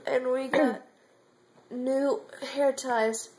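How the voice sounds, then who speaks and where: A woman's voice: sits high, fairly even intonation, drawn-out words, a little mellow, a little hiss or hum, low-quality recording. A young woman chatting with her mother at home on a phone camera, joking dryly and teasing herself. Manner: wry, self-mocking, joking. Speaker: daughter. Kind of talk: casual family chat. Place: home room.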